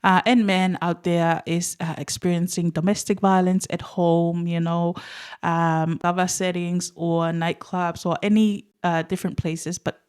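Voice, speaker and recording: A woman's voice: lowish voice, flat delivery, unhurried, darkish tone, smooth. A woman hosting an interview podcast, talking earnestly and in a rambling way. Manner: earnest, rambling, with many ums and uhs. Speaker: podcast host.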